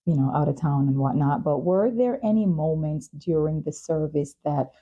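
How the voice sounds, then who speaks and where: A woman's voice: low-pitched, expressive, normal speed, warm tone, husky, highs cut off. A woman hosting a talk show, speaking empathetically in an interview conversation and affirming what the guest says. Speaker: talk show and podcast host.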